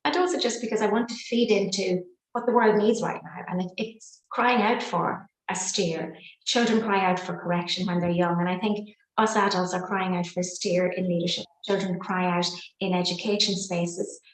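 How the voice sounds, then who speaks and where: Expressive Irish woman in her fifties. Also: on the low side, normal speed, reverberant, poor audio. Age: 50s. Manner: warm, expressive and fluent, with folksy sayings. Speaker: podcast guest, psychotherapist and coach. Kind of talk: reflective interview talk.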